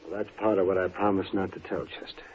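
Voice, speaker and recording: An American actor playing a weary old frontier town doctor in a Western radio drama, hesitant and guarded in a folksy way. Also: male voice, low-pitched, level tone, quick pace, a little mellow, close to an everyday voice. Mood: reluctant explaining. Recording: tinny old radio recording with hiss.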